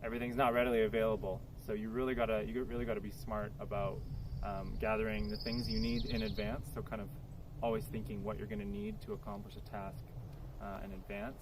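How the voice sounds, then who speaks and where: A man's voice: fairly low pitch, fast, background noise. A man answering interview questions outdoors in an earnest, reflective way. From Poland. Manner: reflective, earnest, thinks aloud. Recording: crickets clearly audible outdoors.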